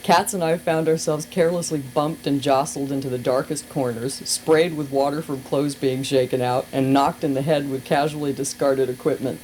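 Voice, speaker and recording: A woman narrates a humorous memoir in a very low, mellow voice. Her storytelling is expressive, wry and deliberate. It sounds like an amateur cassette recording with faint noise. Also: low-quality recording. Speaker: radio personality reading aloud.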